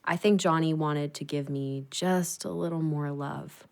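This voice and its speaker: A woman playing a tender, grieving younger sister in an intimate audio drama, speaking in a gentle, wistful way. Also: low voice, steady tone, measured pace, gravelly. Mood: tender, nostalgic.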